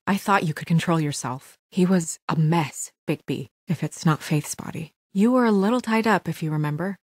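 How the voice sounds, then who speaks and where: An actress plays a guarded, determined young fairy-tale woman in a naturalistic video game drama. Her voice sits fairly low, with an earnest, businesslike firmness. The sound is close and dry, with no room on it. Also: dry recording, natural voice. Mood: dry, reproachful. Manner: earnest, measured, firm, businesslike.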